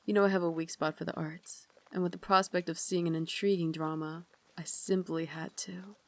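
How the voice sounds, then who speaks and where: A woman playing an elegant, caring and gently commanding Victorian vampire lady in an ASMR roleplay, speaking softly, formally and with doting refinement. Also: on the low side, fairly even intonation, normal speed, natural voice. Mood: gracious, inviting. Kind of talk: ASMR roleplay, slow intimate scripted acting.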